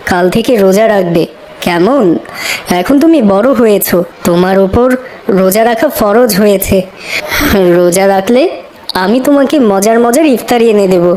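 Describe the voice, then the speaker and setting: A woman voicing a gentle, indulgent father with a soothing, coaxing manner in a children's moral cartoon. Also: medium pitch, normal speed, slight background noise, natural voice, low-quality recording. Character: gentle, indulgent father defending his daughter.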